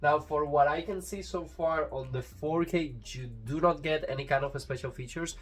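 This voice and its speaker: A man's voice: fairly high pitch, moderate pace. A man whose first language is Spanish, with a Latin American Spanish accent, chatting casually and enthusiastically as he reviews a disc for his channel, rambling with fillers and restarts. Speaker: YouTube reviewer.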